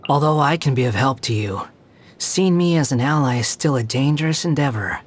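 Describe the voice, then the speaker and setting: An actor playing a scornful, sardonic young male immortal wanderer in a video game, with a husky voice. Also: medium pitch, a little fast, close to an everyday voice. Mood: cool, aloof. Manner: haughty, sardonic, sneering. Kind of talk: scripted video game character voice lines.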